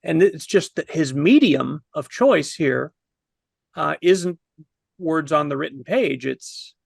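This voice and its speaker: A man in his fifties with a fairly high, creaky voice talks casually on a panel. He thinks aloud and keeps refining his points. The recording is dry. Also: lively intonation, takes it slowly, dry recording. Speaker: film review panelist. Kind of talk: casual discussion. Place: indoors on a video call.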